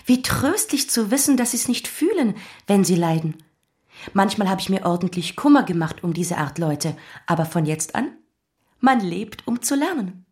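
An actress plays a lady's companion in a period radio drama, a woman of about thirty with a medium-pitched voice. She pretends to be meek and ignorant. Every question carries a sly, sardonic edge. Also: big pitch swings, normal speed, a little mellow, close to an everyday voice. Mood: veiled sarcasm. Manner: insinuating, mock-innocent questioning. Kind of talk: German radio drama acting.

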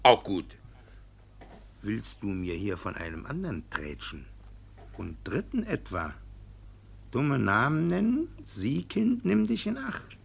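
A sly village judge in a classic radio drama. His voice is rough and husky, swinging widely in pitch. He blusters, dodges and stumbles over his words like a man hiding guilt. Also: wildly swooping pitch, takes it slowly, long pauses, heavily acted. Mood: coaxing, menacing. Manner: blustering, evasive, hesitant stammering. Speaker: actor in a radio play. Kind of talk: theatrical classic radio play acting.

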